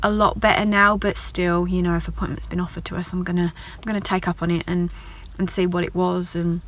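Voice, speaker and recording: A woman's voice: fairly low pitch, level tone, fairly quick. A woman, a hairdresser chatting casually to her viewers in a video diary, rambling from one thing to the next. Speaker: vlogger and hairdresser.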